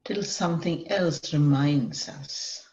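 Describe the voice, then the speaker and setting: A woman leading a guided meditation in a measured way, often pausing in the middle of sentences. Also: low-pitched, a little mellow. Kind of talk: guided meditation, semi-improvised. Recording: dull lo-fi, echoey room.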